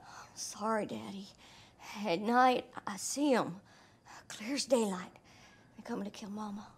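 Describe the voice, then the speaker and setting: A woman's voice: medium pitch, a little slow, breathy edge. A woman playing a frightened, timid young farm boy, meek and pleading, in a video game cutscene. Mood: scared, meek.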